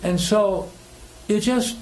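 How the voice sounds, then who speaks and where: High-voiced American man preaching, hesitant. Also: slow pace, rough recording. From United States. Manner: hesitant, rambling, chuckles between phrases. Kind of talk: conversational sermon with personal anecdotes. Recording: clear background noise.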